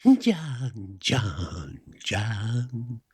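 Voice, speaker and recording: A man's voice: mid-range voice, very animated, very slow, a little mellow, husky, dry recording, clean studio sound, heavily acted. A man voicing a comic lover in a spoof melodrama, calling out in an exaggerated way. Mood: swooning, melodramatic. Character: comic lovers John and Marcia endlessly calling each other's names.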